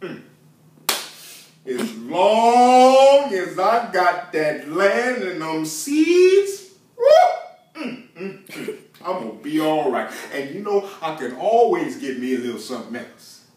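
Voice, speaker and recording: A man plays a stubborn, scheming Southern farmer in a folksy stage monologue. He argues in a fairly high, very animated voice, stretching words for emphasis. The sound is heavily echoey. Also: slight background noise, lightly acted. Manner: drawn-out emphatic words, hums and chuckles, argumentative. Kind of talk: theatrical monologue in folksy vernacular. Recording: very echoey room, distant microphone.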